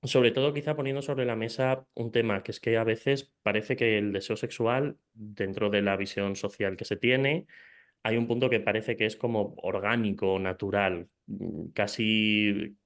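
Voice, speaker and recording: A man's voice: lowish voice, steady tone, unhurried. A man hosting an interview, asking long questions in a hesitant way, searching for words. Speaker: interview host.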